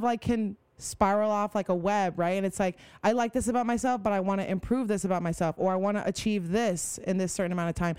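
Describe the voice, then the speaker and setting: A woman's voice: mid-range voice, darkish tone, smooth, no room sound. A young woman guest on a podcast, talking enthusiastically in a rambling way with lots of "like.". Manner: enthusiastic, rambling, many "like" fillers. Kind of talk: casual conversation.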